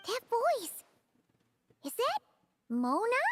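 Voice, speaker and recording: A woman voicing a small, excitable childlike fairy companion in a video game. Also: extremely high pitch, very slow, exaggerated voice. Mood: puzzled. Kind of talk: animated video game voice acting.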